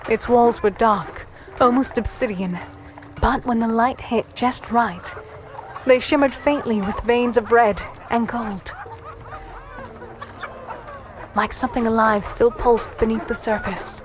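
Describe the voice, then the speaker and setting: A woman's voice: a little high, steady tone, unhurried, slight background noise, lightly acted. A woman playing an earnest human heroine, narrating her story in the first person with dramatic intensity for a fantasy audio drama. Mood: solemn, descriptive.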